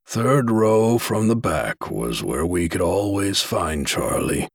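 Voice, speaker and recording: A fond small-town pastor, played by the male narrator speaking slowly in a husky low voice. His pitch rises and falls with warmth. The recording is close and dry. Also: very animated, dry recording, heavily acted. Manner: slow, fond and easygoing. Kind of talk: audiobook character voice.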